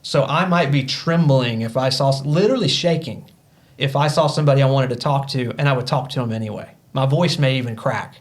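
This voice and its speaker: An earnest man in his thirties talks as a podcast guest. His pitch sits in the middle, his manner is motivational and loose, with plenty of "you know" fillers. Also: fairly quick. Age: 30s. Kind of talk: casual podcast conversation.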